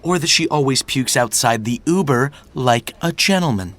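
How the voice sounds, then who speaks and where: An actor playing a crude but well-meaning young buddy in a comic audio drama, rambling, blunt and slangy. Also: male voice, mid-range voice, lively intonation, normal speed, fairly bright tone, lightly acted. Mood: eager, rambling. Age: young adult. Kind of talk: audio drama romantic comedy acting.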